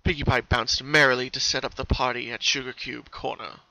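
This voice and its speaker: A narrator reads a story dramatically from a script. The tone is bright and forward, and the sound is dry with no room to it. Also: mid-range voice, steady tone, a little fast, no echo, muffled recording. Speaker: narrator, fan fiction reader.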